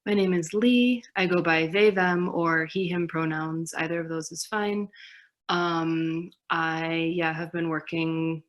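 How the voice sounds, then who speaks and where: A young woman from Minnesota co-presenting a webinar, calm and reflective with some hesitations. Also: low voice, slow pace. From Minnesota, United States.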